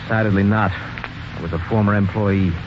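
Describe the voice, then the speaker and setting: Suspect, played by a man: flustered, defensive, stammering. Lowish voice, dull vintage radio drama sound. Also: fairly even intonation, normal speed, noisy background, close to an everyday voice. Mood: nervous, defensive. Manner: nervous, stammering, agitated. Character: flustered, defensive former mine employee under suspicion. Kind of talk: 1940s radio mystery acting. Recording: dull 1940s radio recording with music.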